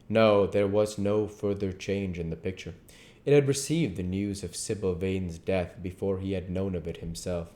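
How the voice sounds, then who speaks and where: A man with a fairly low voice reads literary prose aloud. His narration is deliberate, with occasional stumbles over the written words. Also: a little mellow. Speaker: audiobook reader.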